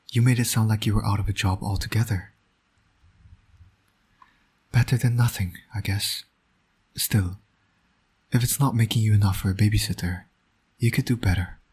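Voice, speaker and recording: A low, husky male voice for a roleplay audio drama , a man acting it out. He is a smug villain who flirts and needles with dry sarcasm, yet shows a fatherly soft side. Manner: smug, teasing, sardonic. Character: teasing, flirtatious male supervillain, doting secret father.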